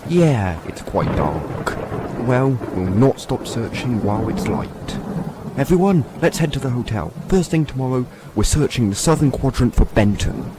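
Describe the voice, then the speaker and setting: A man's voice: medium pitch, animated, unhurried, background noise, lightly acted. A man playing a determined, loyal military search leader in an audio drama, earnest and commanding. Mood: resolute.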